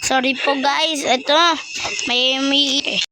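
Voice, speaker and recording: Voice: high-pitched, fairly even intonation, slow pace, loud music behind the voice. A Filipino speaker doing casual game playthrough commentary, chatty and exclamatory, addressing viewers directly. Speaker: gaming vlogger.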